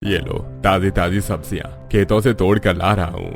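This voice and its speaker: A low, gravelly older villager from a cartoon story, played by a man. He is a thrifty, homely father-in-law who speaks firmly, with faint music behind. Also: steady tone, normal speed. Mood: pleased. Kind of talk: Hindi cartoon story voice acting.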